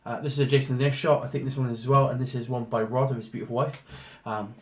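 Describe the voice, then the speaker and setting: A man with a hoarse voice from a cold, casually talking through an unboxing with lots of ums and restarts. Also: medium pitch, little pitch movement, quick pace. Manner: rambling, many ums and restarts. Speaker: photographer doing an unboxing. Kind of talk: casual product walkthrough. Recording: roomy echo, faint noise.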